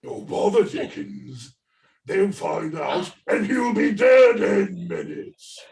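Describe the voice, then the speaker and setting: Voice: medium pitch, expressive, slow pace, gravelly. A performer playing a gloating, doomed masked criminal with theatrical mockery in a comedic script reading. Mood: taunting, resigned. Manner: theatrical, mocking, swinging into shouts. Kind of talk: comedic script table read. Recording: distant, echoey video call audio.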